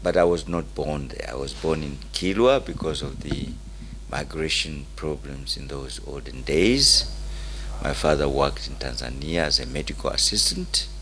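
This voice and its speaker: An older man telling his life story as an interview guest, in deliberate, halting phrases. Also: rumbling low, lively intonation, a bit bright, slight background noise. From Malawi.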